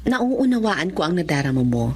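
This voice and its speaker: A woman acting a caring doctor, wife and daughter in a Filipino radio drama, speaking gently with some English mixed in. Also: on the low side, very animated, moderate pace, slight background noise. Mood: gentle, reassuring. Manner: gentle, counselling, code-switches into English.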